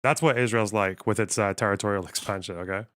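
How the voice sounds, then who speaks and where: Clear-voiced man rambling through a livestream. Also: on the low side, fairly even intonation, quick pace, fairly bright tone. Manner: thinks aloud, rambling and wry. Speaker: livestream host. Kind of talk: casual livestream commentary, reading chat.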